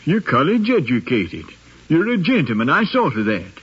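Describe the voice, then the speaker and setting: A man's voice: on the high side, wildly swooping pitch, moderate pace, husky, exaggerated voice. An actor playing a stern, settled older planter father in a 1950s radio drama, admonishing and emphatic. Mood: stern disapproval. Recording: dull 1950s radio recording.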